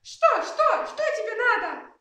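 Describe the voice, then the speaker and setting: Woman playing a high-voiced, dismissive doggy. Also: sing-song, slow pace, sharp tone, exaggerated voice. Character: unwilling, dismissive little dog. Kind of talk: amateur puppet theatre acting. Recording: very echoey room, distant mic.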